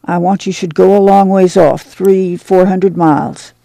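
A man's voice: high-pitched, steady tone, measured pace, gravelly, mellow, dry recording. A man voicing a worried older mother who is tender but firm, speaking in plain rural talk. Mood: insistent. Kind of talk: audiobook character reading.

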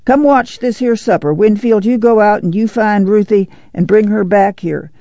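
Woman narrator as anxious farm mother, blunt orders, mellow tone, audiobook character. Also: medium pitch, normal speed, dry recording, muffled recording, pitched above the performer's own voice, natural voice. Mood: firm. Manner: rural folksy dialect, blunt orders. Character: worried, commanding farm mother, weary and urgent.

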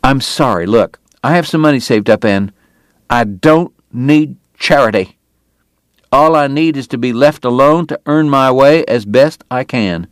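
A man's voice: mid-range voice, a little slow, no echo, pitched above the performer's own voice, close to an everyday voice. A man doing a bitter, ailing frontier dentist. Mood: proud, angry. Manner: pained, proud, formal diction breaking into anger.

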